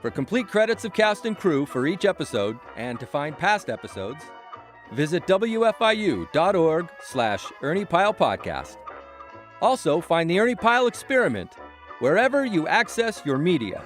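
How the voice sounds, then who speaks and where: A man's voice: fairly high pitch, very animated, moderate pace, soft background music. A man reading the closing credits announcement in a plain announcing manner. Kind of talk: scripted announcement.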